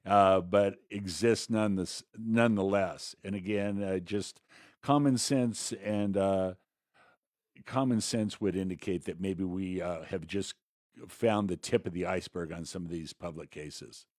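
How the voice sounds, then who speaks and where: Low-voiced man rambling through political commentary. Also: measured pace, a little mellow, no echo, clean studio sound. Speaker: talk show co-host.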